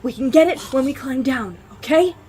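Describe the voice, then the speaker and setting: A woman's voice: sits high, animated, moderate pace, warm tone, a little hiss or hum. A woman playing a practical, blunt apocalypse survivor in an audio drama, speaking urgently. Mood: alarmed, urgent.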